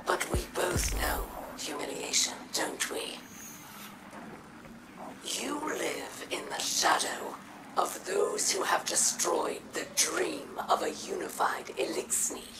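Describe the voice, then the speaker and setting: A woman playing a bitter, proud alien warlord in a video game, speaking in a growling, breathy whisper with deliberate, threatening delivery. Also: lowish voice, wildly swooping pitch, heavily acted. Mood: scornful contempt. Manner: slow, deliberate, theatrical and threatening. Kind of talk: scripted video game dialogue. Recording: echoing voice under loud music. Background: loud music.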